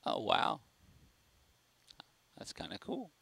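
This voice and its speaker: A man's voice: takes it slowly, pushed and bright. A man working as a TV director, calling cues and chatting informally with crew. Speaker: TV director in control room.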